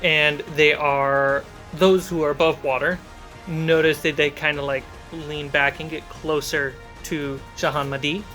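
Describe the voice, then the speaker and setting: A game master hosting a tabletop fantasy show. The voice sits at a middling pitch, with music clearly playing behind it. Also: little pitch movement, unhurried, bright, forceful tone. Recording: background music under voice. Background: background music.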